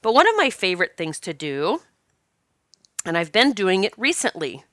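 A woman's voice: mid-range voice, big pitch swings, moderate pace, fairly bright tone, no echo, studio quality. A woman hosting her own podcast, stopped up from allergies, chatting in an encouraging way with fillers. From Northeast Pennsylvania. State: congested from allergies. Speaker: podcast host. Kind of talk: casual podcast talk.

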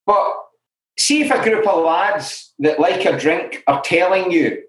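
Middle-aged glasgow guy, scottish accent, talking straight and frank, bit higher voice, lots of you know, roomy echo. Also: a little slow. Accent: Glaswegian Scottish. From Scotland. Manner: frank and self-deprecating, full of "you know" asides. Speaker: interview guest in alcohol recovery. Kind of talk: candid conversational storytelling. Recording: roomy echoey room.

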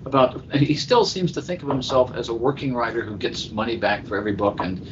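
A man co-hosting a podcast, chatting casually about books. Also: brisk. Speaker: podcast co-host. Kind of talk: casual literary discussion. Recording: muffled internet call, clear background rumble.

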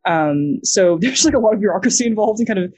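A young woman with an American accent, a guest talking casually on a video call, quick and halting, full of fillers and restarts. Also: mid-range voice, expressive, darkish tone, breathy edge, dry recording. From New Zealand.

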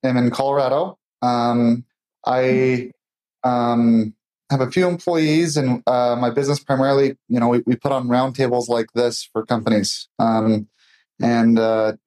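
A man's voice: mid-range voice, steady tone, measured pace, a little mellow, rough recording. A man in his thirties, a business owner, introducing himself loosely with lots of "uh" and "you know.". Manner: filler-heavy, loose. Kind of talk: roundtable introduction.

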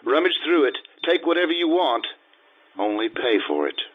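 A man's voice: fairly high pitch, very animated, normal speed, noisy background. A man playing an anguished, rueful adventurer in old-time radio drama style, hesitant and dramatic, over a thin phone-like recording. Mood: rueful, philosophical. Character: Giacomo Casanova, anguished adventurer telling a lord his life.

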